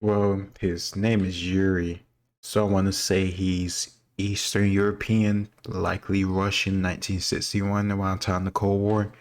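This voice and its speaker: A man's voice: sits low, flat delivery, a little slow. A man speaking informally and off the cuff, rambling and thinking aloud as he comments on quiz questions. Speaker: host reacting to history quiz questions.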